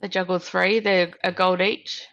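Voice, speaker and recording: A woman's voice: on the low side, flat delivery, a little slow, fairly bright tone, muffled recording, faint music behind. A woman chatting casually as a player in a tabletop fantasy game.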